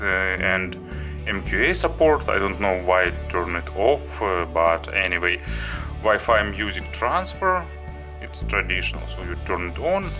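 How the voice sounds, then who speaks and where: Deep, slow-paced man reviewing a gadget. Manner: halting, listing items one by one. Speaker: product reviewer, host. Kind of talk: unscripted product review walkthrough.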